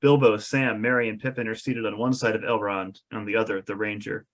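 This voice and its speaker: A man reading scripted stage directions for a fantasy screenplay as narrator, in measured storytelling style. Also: fairly low pitch, steady tone, fairly bright tone.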